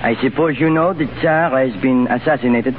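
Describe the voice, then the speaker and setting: An actor playing a cautious French gentleman who speaks in a measured, hesitant way, heard on a 1930s radio broadcast. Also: medium pitch, phone-quality sound, a little hiss or hum, close to an everyday voice. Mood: grave.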